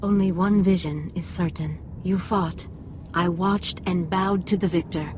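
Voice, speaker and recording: A woman's voice: fairly low pitch, steady tone, measured pace, darkish tone, a little hiss or hum, natural voice, low-quality recording. A woman playing a solemn alien mystic in a game, speaking in terse, cryptic phrases. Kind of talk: video game cutscene acting.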